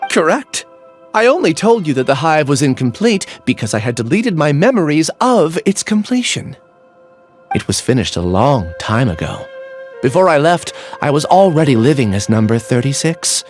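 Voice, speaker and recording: A calm, resigned young researcher. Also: male voice, fairly high pitch, moderate pace. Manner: calm, earnest, explaining himself. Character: quiet young male researcher resigned to joining a hive mind. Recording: faint game music behind.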